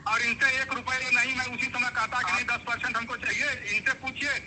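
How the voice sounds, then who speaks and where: Very high-voiced man on a noisy phone call. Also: fairly even intonation, a little fast. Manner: insistent, pleading to be heard. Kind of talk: defensive explanation on a phone call.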